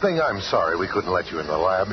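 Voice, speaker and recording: An actor playing a formal, commanding scientist and leader of superheroes in a 1970s radio drama. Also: male voice, low-pitched, big pitch swings, quick pace, background noise. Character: calm, authoritative male scientist superhero leader. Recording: muffled 1970s radio recording.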